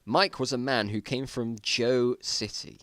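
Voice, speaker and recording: A man's voice: mid-range voice, steady tone, unhurried, a bit bright, dry recording, studio quality. A man giving an amateur dramatic reading of his own old story, with amused, self-mocking asides. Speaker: narrator.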